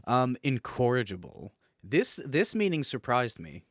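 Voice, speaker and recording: A man's voice: medium pitch, sing-song, a little slow, no room sound, studio quality. A man co-hosting a podcast in casual, chatty banter, jokey and prone to rambling. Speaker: podcast co-host.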